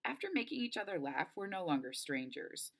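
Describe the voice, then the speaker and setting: A woman reading a first-person children's novel aloud in an expressive storytelling style. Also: medium pitch, fairly quick. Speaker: story reader.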